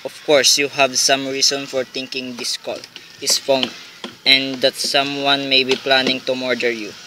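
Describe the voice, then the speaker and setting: A man's voice: medium pitch, flat delivery, a little slow, background noise, natural voice. A man playing a dismissive, patronizing police sergeant in a radio play, condescending and placating.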